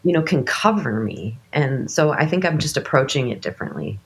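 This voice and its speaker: A woman's voice: extremely low pitch, very animated, a little fast, vocal fry. A woman in her late thirties, a worship leader fighting a cold, talking casually with many restarts and fillers. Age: late 30s. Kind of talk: casual conversation.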